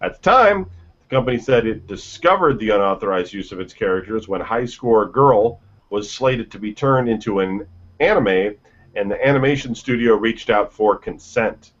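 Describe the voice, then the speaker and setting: A man with a lowish voice hosts a gaming news show, reading stories and then riffing on them with swearing and jokes. The audio sounds dull and lo-fi, like a home podcast recording. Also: expressive, normal speed. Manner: reads headlines then jokes, swears freely. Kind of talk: casual news chat.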